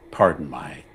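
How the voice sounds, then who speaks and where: A man voicing a smooth, calculating rogue in a tabletop game, with measured, insinuating pauses. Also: a bit bright, slight background noise. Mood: conspiratorial. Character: smooth scheming male rogue, soft-spoken and calculating.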